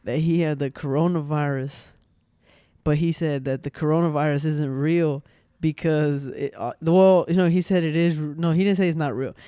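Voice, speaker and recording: A young man hosting a home podcast on a boom arm mic, chatting casually and rambling with restarts and slang. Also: fairly even intonation, moderate pace, mellow, smooth, no room sound. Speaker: podcast host. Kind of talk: casual chat.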